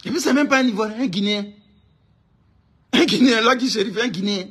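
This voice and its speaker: A man from Ivory Coast with an Ivorian accent and a husky voice, delivering an informal, indignant political rant, emphatic and repeating his phrases insistently. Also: high voice, fast, a bit bright. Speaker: online political commentator.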